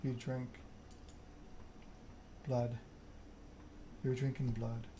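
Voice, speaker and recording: A man's voice: medium pitch, flat delivery, very slow, dark tone. A man reading a story game aloud in an ASMR narration style. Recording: clear background ambience noise.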